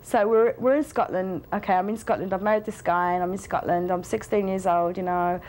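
A woman's voice: mid-range voice, steady tone, brisk, darkish tone, slight background noise, low-quality recording. Rambling young woman. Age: young adult. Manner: rambling, with many fillers and restarts. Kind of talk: personal storytelling to camera. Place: indoor studio with a plain wall.

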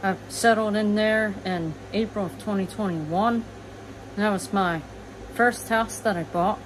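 A woman's voice: medium pitch, unhurried, slight background noise, rough recording. A woman in her late twenties giving a casual tour of her small home, talking plainly and listing things as she walks, recorded on a phone. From eastern United States. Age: 20s. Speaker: vlogger. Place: small manufactured home, indoors. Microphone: phone camera, front-facing then walking.

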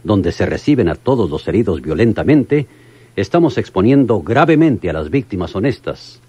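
Deep, husky Mexican police lieutenant. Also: male voice, sing-song, moderate pace, mellow, dry recording, dull audio. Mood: insistent. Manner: formal, emphatic, respectful. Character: earnest, formal police lieutenant defending his case. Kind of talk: radio drama acting.

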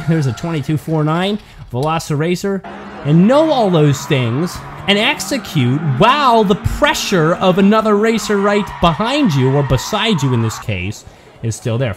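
A man giving play-by-play commentary on a toy car race, building excitement at close finishes. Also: high-pitched, noisy background.

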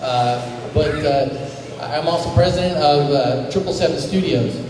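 A man's voice: fairly high pitch, a little slow, crowd noise. An American man, a studio head, introducing a product to an audience with a handheld mic, speaking casually with many fillers. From United States. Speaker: studio president presenting. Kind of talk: presentation to an audience. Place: large hall with flag backdrop. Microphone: handheld mic, recorded by a far camera. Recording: echoey hall recorded from far off.

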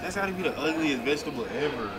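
A man's voice: on the high side, animated, racing. A man of twenty-one vlogging from a dim restaurant booth, holding the camera at arm's length and chatting to viewers in loose, joking slang. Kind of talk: casual vlog chat to camera. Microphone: handheld camera at arm's length. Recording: noisy crowded restaurant. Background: restaurant crowd chatter.

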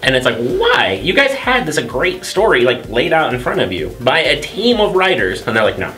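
A man's voice: fairly high pitch, huge pitch swings, normal speed, sharp tone, faint music behind. A man in his thirties chatting casually and with strong opinions as co-host of a video game show.

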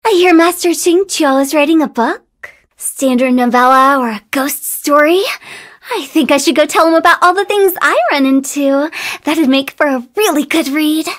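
A woman's voice: high-pitched, normal speed, a bit bright. A woman voicing a playful, eccentric young female funeral director in a video game, with a theatrical, teasing, sing-song delivery. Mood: gossipy, mischievous. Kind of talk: video game character voice lines.

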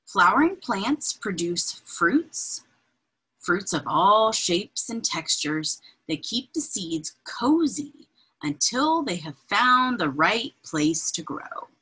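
A woman reading a children's picture book aloud in an expressive, deliberate, breathy way, adding informal asides as she points things out. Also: mid-range voice, rough recording. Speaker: read-aloud reader. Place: indoors, small room with bookshelves.

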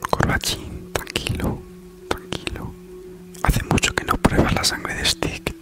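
A man's voice: sharp tone, faint music behind. A man in his thirties with a Spain accent whispers a mock-soothing, teasing ASMR comedy monologue. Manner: slow soothing delivery with mock-reassuring teasing. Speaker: ASMR parody YouTuber. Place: small living room at home, on a sofa.

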